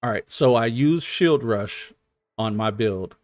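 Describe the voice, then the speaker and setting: A man giving casual gaming stream commentary, explaining things hesitantly as he thinks out loud. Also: mid-range voice, lively intonation, takes it slowly, no room sound. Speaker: game streamer.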